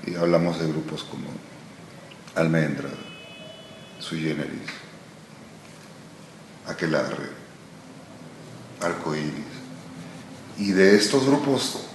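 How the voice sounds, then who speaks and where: Deep-voiced Argentine-born man, slow and reflective. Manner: slow, thoughtful, trailing off with many pauses. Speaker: musician guest. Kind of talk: relaxed interview answers. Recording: echoey room with noise.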